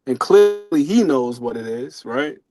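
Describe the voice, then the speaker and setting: A man's voice: medium pitch, animated, a little slow, over music. A man co-hosting a casual boxing talk show, speaking informally and reflectively with some swearing. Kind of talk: casual sports discussion.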